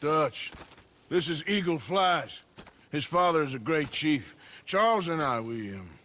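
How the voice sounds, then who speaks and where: An actor playing a weary, remorseful outlaw in a video game, with a rough American drawl and blunt, earnest delivery. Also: male voice, a little high, animated, a little slow, pushed and bright, slight background noise. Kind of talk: video game cinematic acting.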